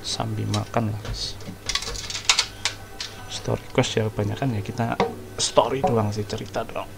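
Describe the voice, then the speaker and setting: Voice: mid-range voice, steady tone, moderate pace, gravelly. A game streamer with an Indonesian accent, giving casual let's-play commentary and chatting informally to viewers. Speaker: gaming streamer. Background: game music.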